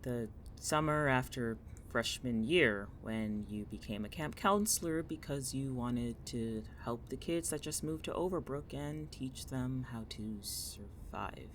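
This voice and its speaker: A guarded young male college student played by a male actor in an audio drama, sardonic and deadpan. His voice sits at a middling pitch, with a faint rumbling noise behind it. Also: moderate pace, lightly acted. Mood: subdued. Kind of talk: naturalistic audio drama acting.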